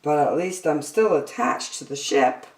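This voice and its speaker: A woman's voice: deep voice, animated, unhurried, a little mellow, slight background noise. A woman voicing a mild, earnest, long-suffering pet dog thinking aloud in a musing, understated way. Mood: worried. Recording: echoey room.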